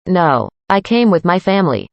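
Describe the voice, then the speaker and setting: Low-voiced woman reading lesson dialogue. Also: very animated, moderate pace, creaky, no room sound, dull audio. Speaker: student in a dialogue. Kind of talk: scripted conversation reading.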